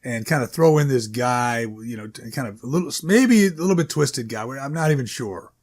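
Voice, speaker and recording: A man giving an informal, unscripted author's introduction to his writing, rambling and halting as he corrects himself. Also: mid-range voice, animated. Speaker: author introducing own short story.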